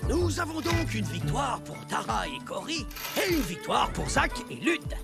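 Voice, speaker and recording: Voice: medium pitch, big pitch swings, unhurried, vocal fry. A performer voicing a cartoon games organizer who proclaims rules to children in a theatrical, rousing manner. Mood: instructive. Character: adult organizer announcing children's friendship games, solemn and rousing. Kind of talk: cartoon voice acting in French dub. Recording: music behind the voice.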